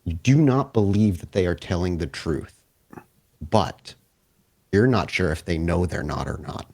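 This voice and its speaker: A man improvising narration as game master of a tabletop horror game, descriptive and pausing as he builds suspense. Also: low voice, a little mellow.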